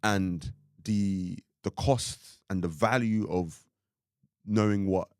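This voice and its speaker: A young man from Ireland with a very low, deep voice, chatting loosely on a podcast. He rambles, leaning on fillers like "like" and "you know what I mean". The sound is close and dry, with no room around it. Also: slow, clear, resonant tone, no room sound. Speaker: podcast co-host. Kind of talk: casual podcast chat.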